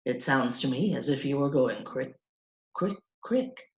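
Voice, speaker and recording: A woman voicing a gentle, appreciative male cat with a languid manner, in a storybook reading. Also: a bass voice, lively intonation, unhurried, reverberant, highs cut off, pitched below the performer's own voice. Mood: amused.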